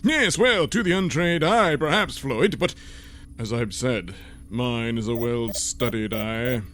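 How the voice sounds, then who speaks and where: A man's voice: mid-range voice, takes it slowly, fairly bright tone, a little hiss or hum. A man playing a pompous, genteel, self-assured resistance member, speaking formally in a comic sci-fi audio serial. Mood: smug.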